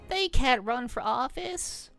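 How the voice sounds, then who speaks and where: A woman acts out a dim, sneering accuser in a streamer's skit. The voice is pitched high and drags out every word mockingly. Faint music sits behind it. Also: expressive, a bit bright, exaggerated voice. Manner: mocking, drawn-out. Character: stupid, sneering accuser tattling about a candidate.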